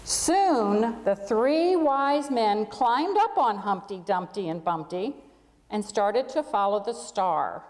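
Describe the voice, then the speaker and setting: A woman's voice: medium pitch, lively intonation, slow, a little mellow. Woman reading aloud in an echoey church. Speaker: reader at a church. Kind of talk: children's storybook read aloud to a congregation. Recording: echoey hall with long reverb.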